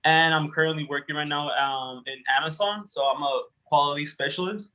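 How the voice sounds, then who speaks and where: Young man in his twenties, native Spanish speaker, somewhat high and bright voice, rambling with fillers, video call. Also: brisk, rough recording. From Arizona, United States. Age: 20s. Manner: rambling, full of fillers and self-corrections. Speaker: guest speaker. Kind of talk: casual conversational answers.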